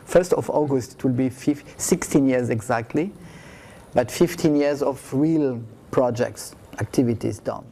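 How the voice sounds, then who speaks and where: A man speaking slowly and reflectively, with frequent fillers and halting, non-native phrasing. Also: mid-range voice, warm tone. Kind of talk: reflective speech.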